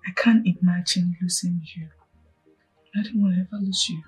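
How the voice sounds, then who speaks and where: An actress playing an adoring, loyal, emotional wife in a film drama. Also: female voice, on the low side, fairly even intonation, moderate pace, darkish tone, breathy edge, poor audio. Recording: music behind the voice.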